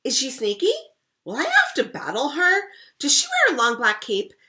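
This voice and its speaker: A woman's voice: a little high, huge pitch swings, bright, forceful tone, voice pushed up, full character voice. A woman doing a squealing, pesky little girl. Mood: eager. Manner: squealing, rapid-fire questions. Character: excitable little girl, pesky youngest sister.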